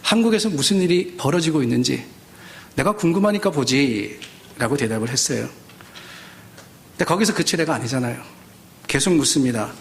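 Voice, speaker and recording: A man's voice: mid-range voice, expressive, normal speed, vocal fry, pauses often, a little hiss or hum. A middle-aged man from South Korea giving a sermon as a pastor, speaking conversationally and posing questions to his listeners. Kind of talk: Sunday sermon with personal anecdotes.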